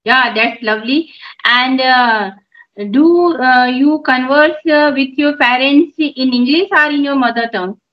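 High-voiced woman on a dull call line. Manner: measured, encouraging, with filler pauses. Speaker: YouTube channel host and mother. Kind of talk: English conversation practice on a video call. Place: room at home. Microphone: phone on a video call.

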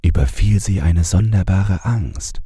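A man narrating a bedtime fairy tale as an audiobook reader, in a calm, measured storytelling manner. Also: sits low, breathy edge, no echo, studio quality.

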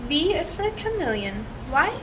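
A woman's voice: high voice, big pitch swings, unhurried. A young woman reading a picture book aloud to children in a teacherly way, prompting them with questions. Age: young adult. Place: small indoor room, seated in a chair. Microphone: camera a few metres away. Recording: distant camera mic, clearly noisy room.

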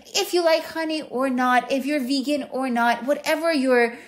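Travel podcast lady chatting away excitedly, kinda high voice, lots of can you believe that. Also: normal speed. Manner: enthusiastic, uses rhetorical questions. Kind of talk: casual monologue.

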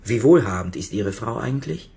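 A low-voiced, probing psychotherapist. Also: male voice, expressive, creaky, a little mellow. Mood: insistent, formal. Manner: measured, formal, insistent questioning. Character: calm, probing male psychotherapist, authoritative and persistent. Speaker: actor in a radio crime play. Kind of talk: German radio drama acting.

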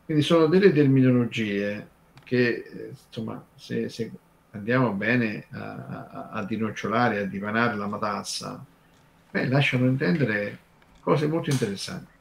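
A man co-hosting an unscripted radio conversation, talking in a halting, discursive way full of filler tags. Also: lowish voice, vocal fry. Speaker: radio show co-host.

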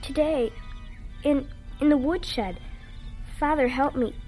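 High-voiced, earnest little farm girl. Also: slow pace, darkish tone, soft background music. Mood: shy, sincere. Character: earnest, devout farm girl, innocent and excitable. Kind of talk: radio drama acting.

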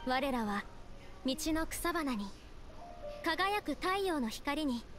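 A woman playing a gentle, devout young woman in a Japanese anime game, speaking earnestly and politely. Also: high-pitched, animated, slow, over music. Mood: solemn storytelling. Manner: earnest, polite feminine phrasing.

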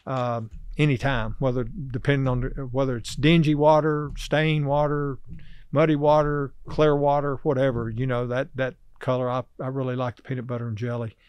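A middle-aged man, a podcast guest talking casually and haltingly about fishing, full of fillers and restarts. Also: mid-range voice, flat delivery, darkish tone, clear, resonant tone, dry recording. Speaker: podcast guest, river angler. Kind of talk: casual interview chat. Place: small office studio, indoors. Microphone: desk podcast mic on boom arm.

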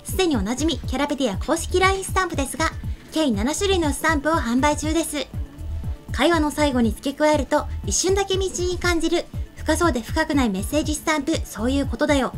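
A woman's voice: high voice, lively intonation, fast. A woman hosting a talk show, politely presenting and chatting lightly into a desk microphone. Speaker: host. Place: small studio, seated at desk. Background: background music.

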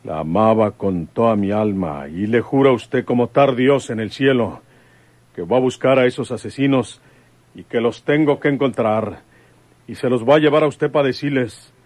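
A man with a Mexican accent playing a gruff older rural police commissioner, plain-spoken and grieving, in an old radionovela. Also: mid-range voice, normal speed, darkish tone, no room sound, lightly acted. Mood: impassioned. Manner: rustic countryside speech.

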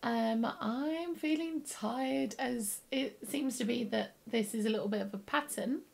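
A young woman with a croaky, tired voice, chatting casually to camera in her home studio. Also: fairly high pitch, unhurried. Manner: chatty, rambling, with soft laughs. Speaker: illustrator vlogger. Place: home studio room with plants.

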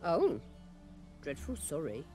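A woman's voice: low voice, wildly swooping pitch, slow pace, over music, heavily acted. An actress playing a fiery, plain-spoken townswoman in a video game, with an earthy, blunt manner. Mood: grateful.

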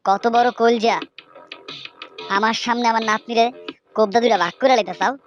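A woman's voice: a little high, fairly even intonation, normal speed, highs cut off, soft background music, natural voice. A woman voicing a protective, easily outraged old village grandmother in a comic cartoon, nagging indignantly with a Bangladeshi accent. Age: older.

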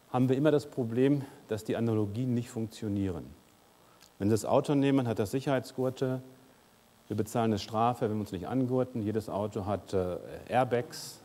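Lecturing man with a dark, mellow voice. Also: mid-range voice. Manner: explanatory, conversational lecturing with frequent "äh" fillers; gestures and moves about the stage while speaking. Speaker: keynote speaker. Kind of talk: conference keynote talk. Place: large lecture hall with audience. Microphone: lapel mic. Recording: long hall reverb.

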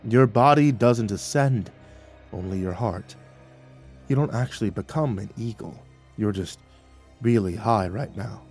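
A man voicing a patient, unconcerned shaman, a dryly teasing mystic, with calm, unhurried delivery in an audiobook. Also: fairly low pitch, husky, faint music behind. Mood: apologetic, amused. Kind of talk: audiobook character dialogue.

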